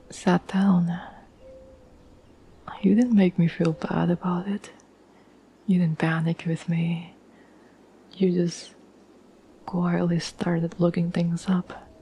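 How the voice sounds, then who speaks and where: A woman playing a caring, tender girlfriend in an intimate ASMR roleplay, speaking in a soft, breathy whisper with gentle, halting pauses. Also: lowish voice, a little hiss or hum, low-quality recording. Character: caring, tender girlfriend reassuring her troubled partner.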